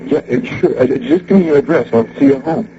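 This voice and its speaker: Gravelly, streetwise police detective. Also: male voice, fairly high pitch, big pitch swings, quick pace, music in the background. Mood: hesitant, solicitous. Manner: colloquial, hesitant then cocky. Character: streetwise, wisecracking male New York police detective. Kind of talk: radio drama acting. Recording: dull, humming old radio broadcast recording.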